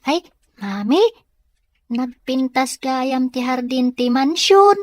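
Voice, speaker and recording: Young girl, voiced by a woman: eager, wheedling, high pitch, dry close recording, radio drama acting. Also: expressive, slow, no echo. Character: eager, pleading young daughter.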